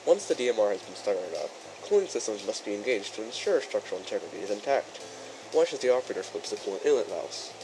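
A man playing a courteous chief engineer who presents a training film in formal, measured, instructional narration. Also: fairly low pitch, sing-song, low-quality recording. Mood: instructive, formal. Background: music behind the voice.

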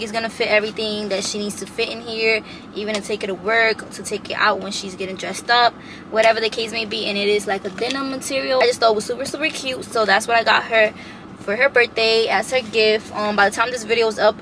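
A woman's voice: mid-range voice, noisy background. A woman in her twenties, sitting in a parked car and filming a casual, rambling haul vlog, full of "like" fillers, into a camera on her dashboard. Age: 20s. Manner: chatty, rambling with many "like" fillers. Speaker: vlogger.

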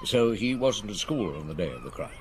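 Radio detective: middle-aged chief inspector, lowish and very varied in pitch, genial, unhurried, probing. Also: male voice, big pitch swings, a little hiss or hum. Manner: unhurried, probing, hums thoughtfully. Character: shrewd, genial, pipe-smoking middle-aged male French police chief inspector. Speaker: actor playing the lead detective. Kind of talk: radio drama acting with first-person narration.

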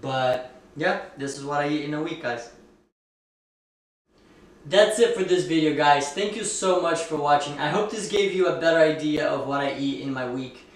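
A young man talking casually to camera at a kitchen table, relaxed and chatty with lots of fillers. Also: on the high side, moderate pace. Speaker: fitness vlogger and ballet dancer. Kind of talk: casual vlog talk to camera. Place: small room indoors, at a kitchen table. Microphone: camera mic at a distance. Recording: very echoey room, a bit far from the mic.

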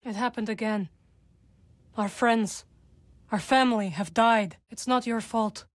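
A woman playing a solemn, guarded young witch in a video game, with dry wit and measured, formal phrasing. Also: a little high, no room sound, lightly acted. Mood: somber, gentle. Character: young adult witch, solemn, guarded, dryly wry rebel. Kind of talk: video game character dialogue.

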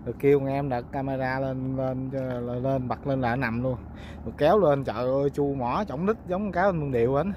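A man's voice: medium pitch, fairly even intonation, fairly quick, a little mellow, highs cut off. A man with a Mekong Delta accent chatting casually and colloquially to viewers as a fishing vlogger, often exclaiming. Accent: Southern Vietnamese, Mekong Delta. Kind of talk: casual outdoor vlog chat. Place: outdoors on a small boat on a river. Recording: clear outdoor boat noise.

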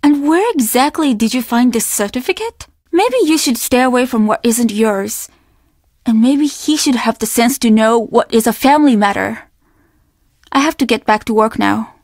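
An actress playing a stern young innkeeper's daughter in a video game, speaking in a measured, formal and easily offended way. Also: female voice, a little high, a little mellow, no room sound, clean studio sound. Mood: cold, offended. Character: guarded, stern young Japanese innkeeper's daughter, polite but curt. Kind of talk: video game character dialogue.

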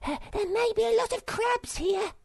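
A storybook narrator voicing a brash, jeering young female monkey with an excitable, screechy, bossy way of talking. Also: extremely high pitch, a little slow, pitched above the performer's own voice, heavily acted. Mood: shrieking excitement.

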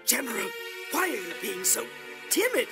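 A man's voice: very high-pitched, big pitch swings, normal speed, gravelly, exaggerated voice. A man voicing an arrogant, bloodthirsty young knight in a video game, boastful and scornful in a theatrical way. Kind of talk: scripted video game voice acting. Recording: loud music under the voice.

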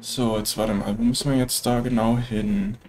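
A man's voice: fairly low pitch, moderate pace, a little hiss or hum. A German-accented man with a stuffy nose from a cold, chatting casually while narrating his farming game. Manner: relaxed, chatty, frequent filler tags. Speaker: let's play gamer. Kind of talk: casual gameplay commentary.

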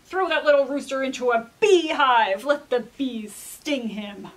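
A woman voicing a greedy, bad-tempered king who gives loud, imperious, emphatic commands in a children's storybook reading. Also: high-pitched, measured pace. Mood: angry commanding.

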